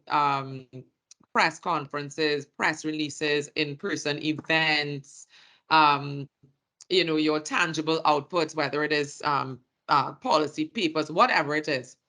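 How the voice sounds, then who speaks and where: Trinidadian accent, workshop presenter, very bright tone, halting phrasing. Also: medium pitch. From Trinidad and Tobago. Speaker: communications presenter.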